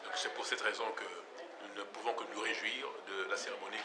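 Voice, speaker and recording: A man answering an interview formally and emphatically, with measured rhetorical repetition. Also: on the low side, steady tone, crowd noise. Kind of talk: formal, spontaneous political interview. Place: outdoor public square. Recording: echoey, very noisy outdoor recording.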